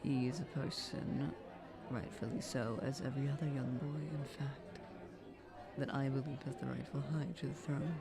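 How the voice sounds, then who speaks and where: A woman playing a dignified, protective queen mother in an audio roleplay, with a measured, formal, regal delivery. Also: very deep voice, steady tone, creaky, a crowd in the background. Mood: firm, protective.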